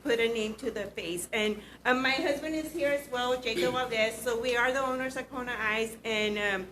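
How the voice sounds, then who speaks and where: A woman who owns a small business, speaking informally at a city council meeting and pausing often with filler words. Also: fairly high pitch, a bit bright, a little hiss or hum, low-quality recording. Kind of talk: public comment at a council meeting. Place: city commission chamber.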